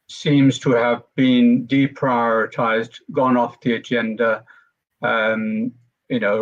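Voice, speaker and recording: A man answering in a panel discussion on education, speaking in a measured way with many pauses and filler sounds. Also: mid-range voice, lo-fi sound. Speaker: education researcher.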